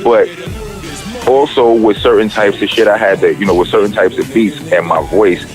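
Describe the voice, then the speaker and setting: Deep voiced rapper guy, talks loose and rambling, cusses a lot, faint music under him. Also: fairly even intonation, moderate pace. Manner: rambling, profane, restarts often, emphatic. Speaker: rapper, interview guest. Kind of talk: casual interview talk.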